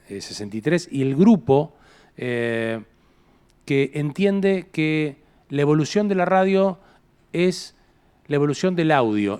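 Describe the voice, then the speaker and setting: Clear-voiced man hosting a talk. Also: fairly high pitch, darkish tone. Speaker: event host. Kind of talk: stage introduction of a speaker.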